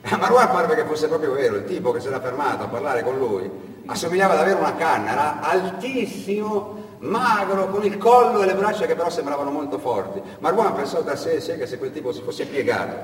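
A man giving a dramatic theatrical narration, deliberate and expressive, repeating phrases for effect. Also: fairly high pitch. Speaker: stage actor, storyteller. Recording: echoey hall from far off, noisy.

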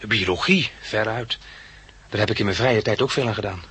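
A man's voice: on the low side, normal speed, a bit bright, husky, dry recording, full character voice. An actor playing an eager, polite young plant enthusiast who tells his story in a 1960s Dutch radio drama. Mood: eager, nervous. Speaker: lead character and narrator.

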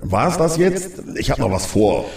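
Guy doing a dry grumpy contrarian in an audio drama, gravelly, roomy echo. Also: on the high side, sing-song, unhurried, a little mellow, voice pushed up. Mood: impatient. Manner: dry, grumbling, dismissive. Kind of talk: scripted audio drama trailer dialogue.

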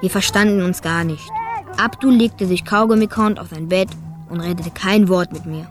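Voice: medium pitch, moderate pace, lightly acted. A performer playing a reflective young Afghan boy who tells his own story in simple, childlike radio drama narration.

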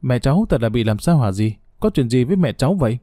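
A man's voice: medium pitch, big pitch swings, fast, warm tone, dry recording, studio quality, close to an everyday voice. A man voicing a frantic young pregnant woman begging for her dying mother, pleading and choked with tears. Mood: anxious.

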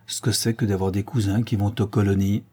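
A man's voice: fairly low pitch, flat delivery, a little fast, dark tone, slightly rough. A man voicing a plain-spoken old river fisherman in a homely, chatty way. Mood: approving.

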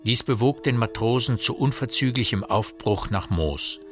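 A man narrating a radio drama as a literary storyteller, in a measured manner. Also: medium pitch, expressive, lightly acted. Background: music.

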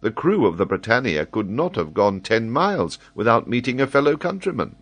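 A man's voice: medium pitch, big pitch swings, a little fast, dull audio, pitched above the performer's own voice. A man voicing an earnest young ship captain who speaks respectfully and reassuringly.

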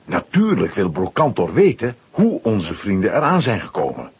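A male narrator tells a children's story with a very husky, breathy voice. His pitch is lively and mid-range, and the recording sounds slightly dull. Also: moderate pace. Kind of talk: scripted storytelling for a children's audio play.

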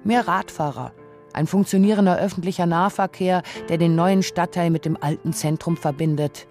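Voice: medium pitch, moderate pace, darkish tone, faint music behind, natural voice. A narrator with reading a scripted, essayistic radio feature in a pointed, literary manner.

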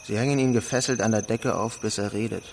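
A man's voice: fairly low pitch, fast, gravelly, slight background noise. An actor playing a grave man in his mid-thirties who reports in a terse, matter-of-fact way in a radio drama.

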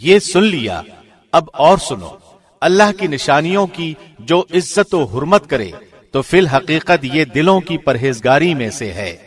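A man with a fairly high voice reads scripture translation aloud. His delivery is formal and measured, in the declamatory manner of a radio presenter. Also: big pitch swings. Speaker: translation reader, radio host. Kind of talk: scripted religious narration. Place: studio.

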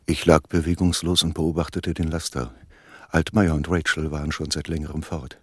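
An actor playing the armed first-person narrator of a radio thriller, recounting the action over music. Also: male voice, a bass voice, little pitch movement, moderate pace, slightly rough, dry recording. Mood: tense, controlled.

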